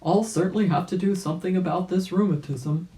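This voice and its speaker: A woman voicing a fussy, exclamatory old gentleman rabbit in a children's storybook, with old-fashioned turns of phrase. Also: on the low side, brisk, pitched above the performer's own voice, close to an everyday voice. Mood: resolved. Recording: roomy, echoing home recording.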